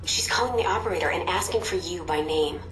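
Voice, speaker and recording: An actress playing an alert, businesslike female spy handler in a video game drama, brisk and matter-of-fact. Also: fairly low pitch, fairly bright tone, lightly acted. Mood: concerned, businesslike. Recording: echoey sound with music behind.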